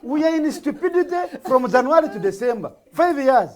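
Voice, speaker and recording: High voiced guy on a talk panel, blunt, laughs his way through points. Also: big pitch swings, a little fast. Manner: blunt, laughs while talking. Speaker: panel commentator. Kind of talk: talk show debate.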